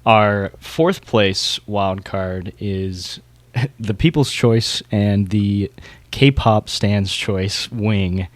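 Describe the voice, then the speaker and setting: A man's voice: on the low side, drawn-out words, no room sound. A man hosting a podcast, talking through competitors and his opinions in a casual, informal way. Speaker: podcast host. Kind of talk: casual discussion of beatbox competitors.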